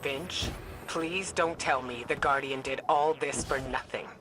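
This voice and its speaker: An actress playing a stern, sceptical intelligence chief in a video game, clipped and exacting. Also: female voice, a bass voice, unhurried, background noise. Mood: exasperated.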